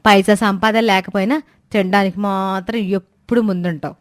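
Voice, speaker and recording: A woman voicing a greedy, sharp-tongued village wife who scolds with sarcasm in a fairy tale. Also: medium pitch, steady tone, a little fast, no echo, studio quality, voice pushed down, lightly acted. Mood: scolding. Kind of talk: acted dialogue in a moral fairy tale.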